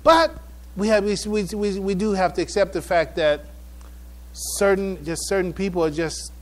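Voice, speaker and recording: A man giving a religious public address, halting and repeating phrases for emphasis. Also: sits high. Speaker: speaker addressing a mosque congregation.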